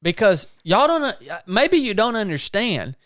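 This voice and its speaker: A man's voice: high-pitched, big pitch swings, measured pace, a bit bright, dry recording, clean studio sound. A man hosting a podcast, talking in an earnest, folksy, casual way and often tagging his sentences with "man". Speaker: podcast host. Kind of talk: casual interview chat.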